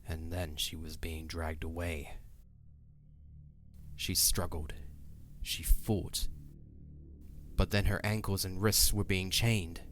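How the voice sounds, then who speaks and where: A man's voice: low-pitched, flat delivery, slow pace, long pauses, a bit bright. A man narrating a fantasy audio drama in a dramatic, scripted storytelling style. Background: music.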